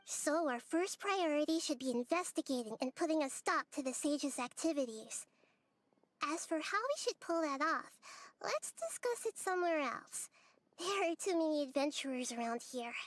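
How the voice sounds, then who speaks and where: A woman voicing a gentle, childlike young goddess in an anime video game, speaking in an earnest, measured and articulate way. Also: high-pitched, lively intonation, dry recording. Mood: thoughtful, composed. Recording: game music under the voice.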